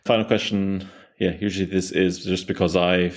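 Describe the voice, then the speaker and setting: A man's voice: low-pitched, flat delivery, normal speed. A young man hosting a podcast, asking questions in a loose, casual way with many fillers. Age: young adult. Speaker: podcast host. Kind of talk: casual interview.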